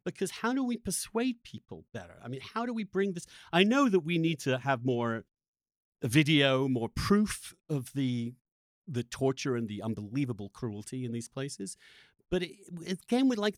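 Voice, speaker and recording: Animated, higher-voiced man, earnest podcast host. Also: no room sound, clean studio sound. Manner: halting and emphatic, breaks off and restarts sentences. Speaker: podcast host interviewing a guest. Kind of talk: reflective, earnest interview conversation.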